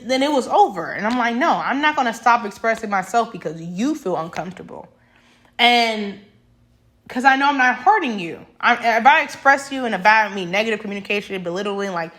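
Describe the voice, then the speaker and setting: A woman's voice: fairly high pitch, a bit bright. A young woman talking straight to camera in a passionate, emphatic monologue that rambles with restarts. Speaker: vlogger.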